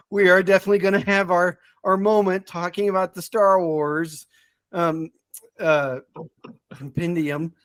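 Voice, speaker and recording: Higher-pitched man in enthusiastic podcast chat. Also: steady tone, unhurried, fairly bright tone, dry recording. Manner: enthusiastic, chuckles while talking. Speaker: podcast guest presenting a ranked list.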